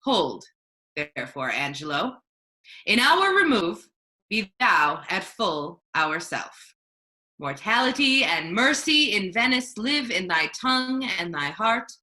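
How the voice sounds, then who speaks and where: A woman playing a grave, courteous male ruler in a staged Shakespeare reading, formal and measured in phrasing. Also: medium pitch, big pitch swings, sharp tone, full character voice. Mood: solemn, stately. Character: grave, courteous male ruler handing over his power.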